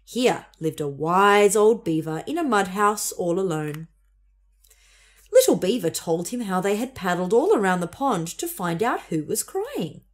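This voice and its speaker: A woman's voice: medium pitch, very animated, measured pace. A young woman narrating a children's story expressively as a storybook reader.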